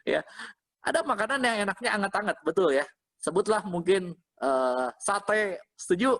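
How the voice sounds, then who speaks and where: A man's voice: sits high, moderate pace. A man preaching as a pastor in an informal, interactive way, repeating phrases and checking in with listeners. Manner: interactive, often checking with listeners using short questions; animated, exhorting, repeats phrases. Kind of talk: informal conversational sermon to an online congregation.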